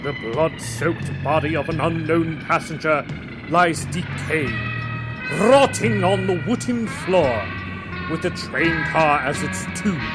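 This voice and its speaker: A man playing an ominous horror radio host, narrating in a theatrical, drawn-out, macabre old-time radio style. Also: fairly high pitch, loud music behind the voice, poor audio. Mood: ominous, suspenseful. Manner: dramatic, drawn-out, macabre delivery.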